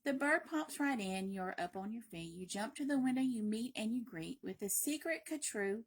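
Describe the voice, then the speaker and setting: A woman reads a picture book to children in a fairly high voice. Her delivery is playful and emphatic, like a library story time, and she drops in short asides between the lines of the tale. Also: lively intonation, normal speed. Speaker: library read-aloud reader. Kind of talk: expressive children's picture-book read-aloud with brief asides.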